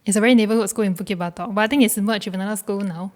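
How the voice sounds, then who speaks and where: Korean-born woman in her 20s, native korean speaker, gives short plain answers and laughs a bit, mid pitch. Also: steady tone, rapid-fire, darkish tone. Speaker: guest, university student. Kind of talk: casual interview answers.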